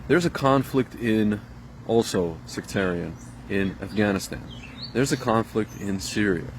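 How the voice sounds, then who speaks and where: A low-voiced man from Ukraine talks straight to his viewers, circling his point with fillers and restarts. His voice sits deep, and a faint noise hums behind him. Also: measured pace. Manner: rambling, addresses viewers directly, many fillers and restarts. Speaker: vlogger. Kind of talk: casual opinion monologue to camera.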